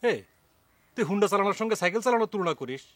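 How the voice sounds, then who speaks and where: A man's voice: high-pitched, lively intonation, very fast, a bit bright. An actor playing a scoffing, argumentative village man in a comic TV drama, with a rural Bangladeshi dialect. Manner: dismissive, argumentative.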